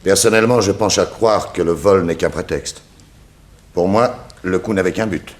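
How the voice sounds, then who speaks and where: Low-voiced, probing detective played by a man. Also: close to an everyday voice. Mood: suspicious. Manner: formal, insistent, deliberate questioning. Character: probing, suspicious male detective questioning witnesses. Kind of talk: 1960s French radio detective serial acting.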